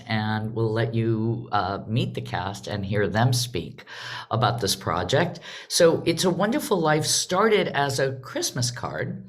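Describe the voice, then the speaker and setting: A woman speaking as a theatre director and host, welcoming an online audience on a video call in a measured way with pauses between phrases. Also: a bass voice.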